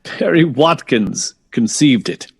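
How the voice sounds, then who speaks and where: A modest jazz composer interviewed on 1960s radio. His mid-range voice takes its time, with expressive rises and falls and a courteous manner. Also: medium pitch, slow pace, creaky, breathy edge, exaggerated voice. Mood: reminiscing.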